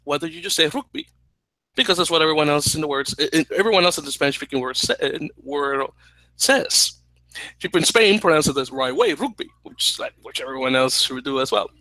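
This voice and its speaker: Bright, dry-voiced Dominican man explaining. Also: fairly high pitch, lively intonation, fairly quick, dry recording, low-quality recording. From Dominican Republic. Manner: explanatory, opinionated, restarts phrases. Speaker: podcast co-host. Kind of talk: casual chat. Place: small indoor room at home. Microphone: headset.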